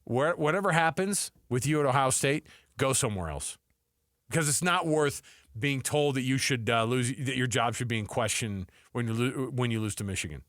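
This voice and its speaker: A man's voice: mid-range voice, normal speed, a bit bright, no room sound, clean studio sound. A man co-hosting a sports radio show, talking casually and animatedly, acting out what others might say.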